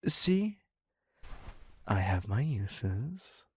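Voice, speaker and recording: A man's voice: lowish voice, very animated, extremely slow, slightly rough, heavily acted. A man playing a theatrical, clingy serial killer in an audio roleplay, wry and mock-courteous as he dotes on his captive. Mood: wounded, coaxing. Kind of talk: scripted dark romance audio roleplay.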